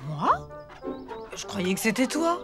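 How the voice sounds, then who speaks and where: A woman's voice: on the high side, wildly swooping pitch, slow pace, over loud music, heavily acted. A woman voicing a caring, attentive mother elephant in a French cartoon, affectionate and theatrical. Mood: concerned.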